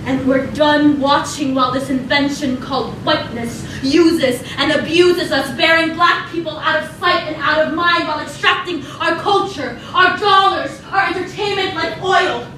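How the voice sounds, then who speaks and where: A woman's voice: sits high, fairly even intonation, moderate pace, hardly any pauses, pushed and bright, a little hiss or hum. Woman declaiming spoken word on stage. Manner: rhythmic, impassioned declamation. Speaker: stage performer. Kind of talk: spoken-word poetry in a cabaret show. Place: theater stage, live. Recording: echoey stage from a distant mic.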